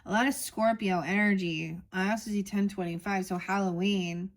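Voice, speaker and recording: A woman's voice: mid-range voice, fairly even intonation, moderate pace. Woman musing through an oracle reading. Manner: meandering, musing, reads card texts aloud. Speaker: tarot reader. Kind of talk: casual oracle card reading.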